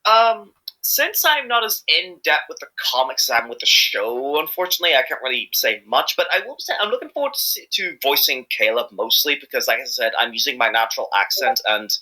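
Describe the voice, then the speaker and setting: A man's voice: medium pitch, very animated, fairly quick, hardly any pauses, pushed and bright, rough recording. A man chatting casually as an interviewed amateur voice actor, rambling and often repeating himself hesitantly.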